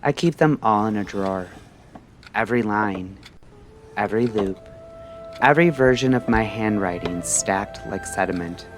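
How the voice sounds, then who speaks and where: A man narrating a scripted story like a late-night radio host, in a measured, theatrical way with short phrases and pauses. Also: lowish voice.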